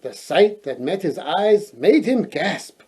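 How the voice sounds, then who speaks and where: A man reading a children's fairy tale aloud in a playful, expressive way, adding joking asides. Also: high-pitched, takes it slowly, slightly rough. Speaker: storyteller, host.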